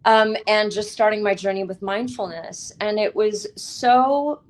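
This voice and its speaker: A woman speaking as an interviewed author, earnest and reflective, with deliberate, emphatic phrasing and pauses. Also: medium pitch.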